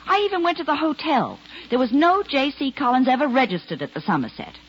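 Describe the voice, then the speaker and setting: An actress playing an earnest, worried, determined secretary in 1940s-50s radio drama style. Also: female voice, sits high, big pitch swings, brisk, slightly rough, heavily acted. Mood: insistent. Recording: dull old radio recording, faint noise.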